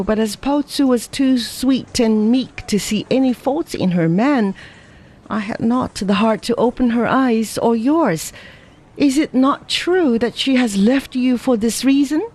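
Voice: medium pitch, pitched above the performer's own voice. A reader voicing a scolding, indignant young American woman. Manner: sharp, scolding.

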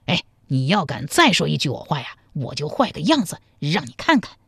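A cheeky young man from an old tale, lazy and sly, who speaks in a lowered, soft, wheedling voice, as a woman narrator puts him on. The reading is dry and close, with no room sound. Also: sing-song, brisk, gravelly, husky, no echo, full character voice. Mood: teasing.

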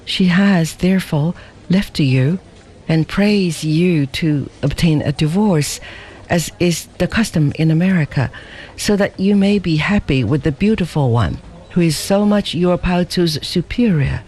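A timid, humble young wife's farewell words come out soft and slow, read by a male narrator. The voice is fairly high, and each phrase is measured and gentle. It stays self-effacing throughout. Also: husky. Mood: meek. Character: timid young wife writing a meek farewell letter.

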